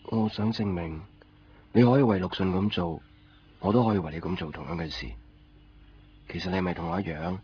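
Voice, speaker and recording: Actor playing an earnest, insistent young man, deep voice. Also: a little fast, pauses often, slight background noise, lightly acted. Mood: probing. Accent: Hong Kong Cantonese. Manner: probing, earnest, pressing with questions. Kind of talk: 1990s Cantonese radio drama acting. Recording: dull lo-fi 1990s radio recording, music behind. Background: background music.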